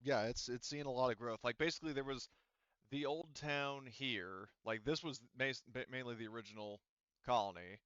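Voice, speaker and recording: A man running a tabletop roleplaying game off the cuff as game master, rambling and halting with frequent restarts and asides. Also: medium pitch, fairly bright tone, clean tone, no echo, highs cut off.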